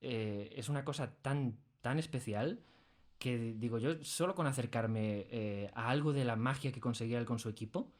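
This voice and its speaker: A man's voice: medium pitch, moderate pace. A man with an accent from Spain chatting casually as a studio interview guest, rambling enthusiastically. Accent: Spanish from Spain. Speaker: interview guest, audio drama creator. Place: recording studio.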